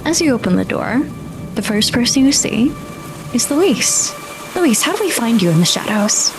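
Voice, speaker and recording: Woman, game master, slightly high pitch, tabletop horror narration, music clearly behind. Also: big pitch swings, normal speed.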